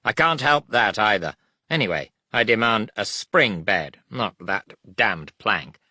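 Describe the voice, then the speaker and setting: A man's voice: fairly low pitch, lively intonation, measured pace, sharp tone, no room sound, studio quality, voice pushed up, heavily acted. A man voicing a defiant, demanding captive British air force officer, speaking in an insistent, indignant, clipped way.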